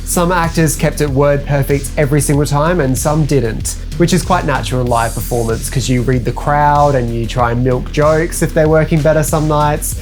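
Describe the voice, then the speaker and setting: A man's voice: fairly high pitch, moderate pace, hardly any pauses, music in the background. A man hosting a video, narrating a script in an informal, explanatory way. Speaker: video host.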